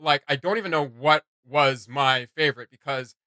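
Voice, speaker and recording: Bright, excitable man reacting casually. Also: medium pitch, normal speed, dry recording. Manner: animated, emphatic, slangy. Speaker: reaction video host. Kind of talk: casual opinionated reaction commentary.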